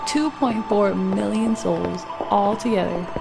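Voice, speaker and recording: A woman's voice: medium pitch, measured pace, creaky. A woman playing a chipper, wry young female tour guide in a sci-fi radio drama. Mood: rehearsed tour patter. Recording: loud music behind the voice.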